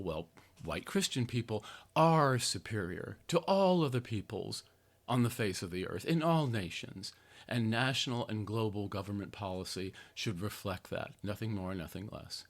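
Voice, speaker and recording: An American man reading an opinion essay aloud as a podcast host, with an emphatic, theatrical, sardonic delivery and drawn-out stressed phrases. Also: fairly low pitch.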